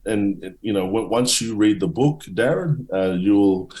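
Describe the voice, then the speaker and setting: A middle-aged man answering interview questions at length, halting, with many restarts and fillers. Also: on the low side, a little mellow, roomy sound. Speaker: author and guest.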